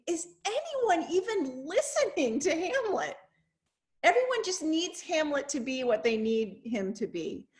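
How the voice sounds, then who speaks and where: An expressive woman speaking reflectively as a professor hosting an online discussion over a video call. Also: high-pitched. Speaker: host, English professor.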